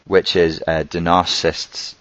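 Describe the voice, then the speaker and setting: A man with a very low voice and a softened Scouse accent hosts a live question-and-answer stream. He talks in a loose, conversational way, thinking aloud as he goes. His voice sits close and dry, with no room around it. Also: level tone, a little slow, no echo, lo-fi sound. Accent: toned-down Scouse. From Merseyside, England. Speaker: host answering viewer questions.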